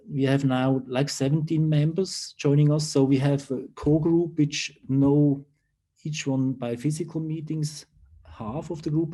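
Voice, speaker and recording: Swiss guy presenting on a video call, mellow low-key voice, lots of uhs and restarts. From Switzerland. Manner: hesitant, many fillers and restarts. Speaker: university lecturer. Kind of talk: online conference presentation.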